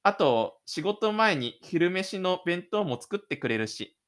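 A man's voice: sits high, very animated, slow, fairly bright tone, voice pushed up, full character voice. A man voicing a shy, stubborn, lonely teenage schoolboy in an introspective audio drama monologue. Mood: musing.

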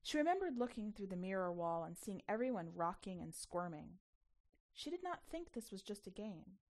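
A woman reading scripted fiction as an expressive podcast storyteller. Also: mid-range voice, normal speed. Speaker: podcast editor and story reader.

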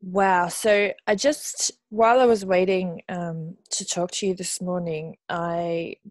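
A woman's voice: fairly low pitch, steady tone, slow pace. A woman in her thirties hosting a casual chat over a remote call, talking easily with frequent ums and light laughs. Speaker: podcast host.

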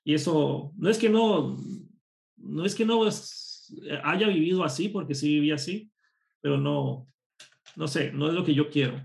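A man from Costa Rica, a programmer and course instructor, telling lively personal stories as a guest in a casual interview. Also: a little high, unhurried, creaky. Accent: none, a neutral Spanish. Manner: lively anecdotal storytelling.

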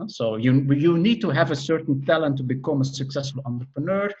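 A man's voice: a little high, expressive, brisk, a little mellow, highs cut off. A middle-aged man from Belgium, a professor of entrepreneurship, answering interview questions in a thoughtful way that explains things step by step, with frequent hesitations.

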